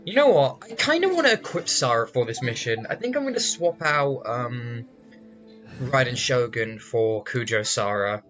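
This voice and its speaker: A man's voice: mid-range voice, lively intonation, moderate pace, fairly bright tone. A man hosting a gaming video with casual commentary on a dull, lo-fi voice track. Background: game music.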